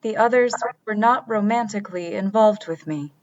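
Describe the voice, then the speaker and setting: A woman's voice: mid-range voice, normal speed, dull audio, natural voice. A woman playing an artificially created being in an audio drama, earnest and emotionally detached, with formal, literal phrasing. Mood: matter-of-fact, detached.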